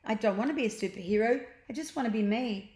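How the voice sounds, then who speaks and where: Plucky little brother for a children's tale, female reader acting, chirpy and confident, higher-pitched, echoey room. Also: fast, a little mellow, natural voice. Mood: cheerful. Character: plucky, cheerful young boy, cheeky little brother. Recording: roomy, echoing sound.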